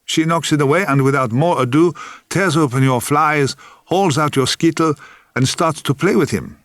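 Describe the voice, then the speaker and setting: A man's voice: on the high side, normal speed, fairly bright tone, dry recording, clean studio sound, voice pushed up, lightly acted. A man voicing a brash, impatient film production assistant in an audiobook, blunt and emphatic with hissing exasperation. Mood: brisk, pushy.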